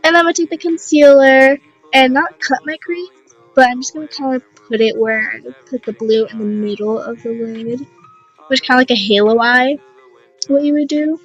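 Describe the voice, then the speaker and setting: Raspy, higher-pitched young woman. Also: fairly even intonation, normal speed, muffled recording, soft background music. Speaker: makeup tutorial vlogger. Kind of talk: casual makeup tutorial narration.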